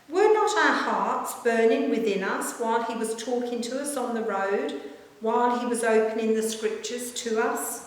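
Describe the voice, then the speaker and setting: A woman minister reading a Gospel passage aloud in a measured, formal, expressive storytelling manner. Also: a little high, a little hiss or hum. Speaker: church minister reading scripture. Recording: very echoey church-like hall, long reverb.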